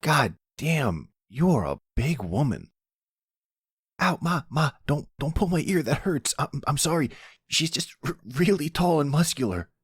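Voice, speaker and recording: A man doing an excitable little boy in an audio roleplay. The voice is pushed up higher, eager and stammering, with no filter at all. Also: measured pace, slightly rough, no room sound, clean studio sound, exaggerated voice. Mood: blunt, then pained. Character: excitable, blunt young boy, son in the family. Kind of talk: ASMR roleplay audio drama.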